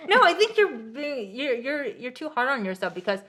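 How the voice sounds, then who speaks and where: A woman's voice: high voice, big pitch swings, normal speed, bright, forceful tone. A woman co-hosting a podcast, chatting casually with lots of fillers like "like" and "you know", a Korean first-language speaker. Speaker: podcast co-host. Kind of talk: casual chat.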